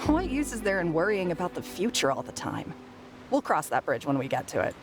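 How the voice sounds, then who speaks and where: A woman's voice: lowish voice, very animated, a little fast. An actress playing a stubborn, carefree townswoman in an anime video game. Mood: dismissive. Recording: loud game music behind. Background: game music.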